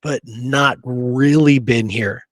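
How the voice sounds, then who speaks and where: Man, medium pitch, chatty and enthusiastic, informal slangy co-host, close dry sound. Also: fairly even intonation, slow pace, dry recording. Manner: chatty and enthusiastic, peppers talk with "dude" and "man". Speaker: co-host of a history talk show. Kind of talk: casual chat.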